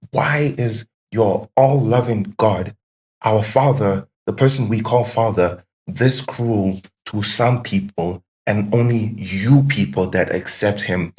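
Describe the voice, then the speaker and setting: Male podcast guest, lowish pitch. Casual, reflective, questioning delivery with emphatic repetition. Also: a little mellow, poor audio.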